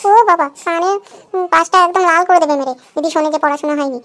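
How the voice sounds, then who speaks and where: An adult voicing an anxious little school pupil in a comedy cartoon, chattering fretfully in a pitched-up voice. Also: fast, sharp tone. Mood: worried agreement. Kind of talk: Bengali comedy cartoon dub.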